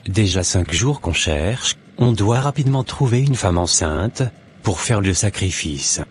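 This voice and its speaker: A man's voice: deep voice, animated, a little slow. A man voicing a scheming would-be false pastor in a French cartoon. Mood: impatient. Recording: noisy ambience behind the voice.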